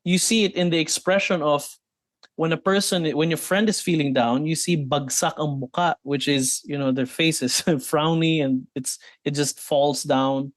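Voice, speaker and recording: Filipino man explaining, higher voice, dry sound. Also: fairly even intonation, normal speed, dry recording. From Philippines. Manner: explanatory, mixes in Tagalog terms, many restarts. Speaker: psychologist guest. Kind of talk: thoughtful interview answers.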